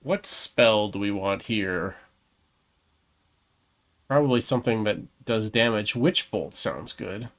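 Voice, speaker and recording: A man's voice: lowish voice, slow, pauses often. A man hosting a gaming video, giving casual commentary on his playthrough. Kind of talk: casual game playthrough commentary, reading choices aloud. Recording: faint game music behind. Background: game music.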